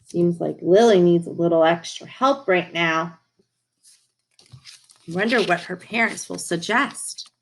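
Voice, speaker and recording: A woman reading a children's story aloud in an animated, emphatic way, pausing to comment to her listeners. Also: fairly low pitch, rough recording. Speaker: storybook reader. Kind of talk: expressive children's read-aloud with asides to listeners.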